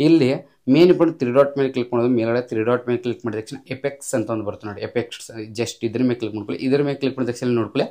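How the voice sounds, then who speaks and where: A man with a mid-pitched voice talks very fast through a casual app tutorial. He instructs step by step, repeating himself and dropping in English tech words. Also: dark tone.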